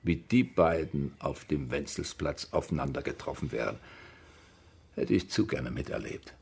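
An actor playing a wry former soldier who recounts his past in colloquial German radio drama monologue, with a gravelly, husky voice. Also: rumbling low, animated, moderate pace, darkish tone, heavily acted.

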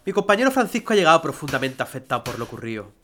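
A man's voice: a little high, sing-song, rapid-fire, fairly bright tone, a little hiss or hum. A man playing a confident, teasing workshop manager in an audio drama, with a Spanish accent from Spain. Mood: mock stern. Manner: teasing, self-assured. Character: cheeky, confident male framing workshop manager.

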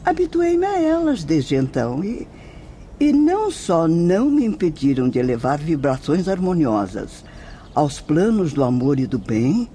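Slow, mellow, kindly old-world nun spirit. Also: female voice, on the low side, a little hiss or hum. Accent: Brazilian. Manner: slow, gentle, maternal, deliberate. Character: kindly, serene spirit nun of indefinable age, gently devout. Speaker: actor in a radio drama. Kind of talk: Brazilian radio soap acting.

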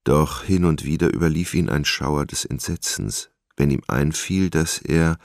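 A man's voice: rumbling low, unhurried, no echo, studio quality. A man reading scripted literary narration as the narrator of a radio drama.

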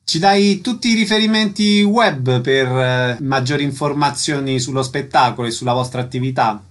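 A man's voice: mid-range voice, moderate pace. A man hosting a radio interview, asking questions and giving announcements.

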